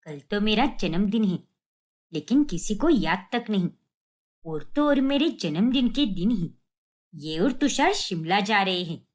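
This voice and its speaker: A middle-aged cartoon mother, played by a woman, plaintive and full of self-pity. Her delivery is dramatic and swings widely in pitch, with resentment and regret close to the surface. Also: sing-song, measured pace. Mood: dejected.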